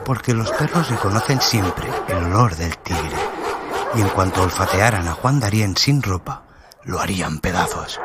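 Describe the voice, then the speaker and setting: Un hombre que narra un cuento dramático con guion, como narrador de radioteatro. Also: male voice, low-pitched, heavy background noise. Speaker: storyteller, narrator. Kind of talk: dramatic scripted story narration. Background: loud music bed.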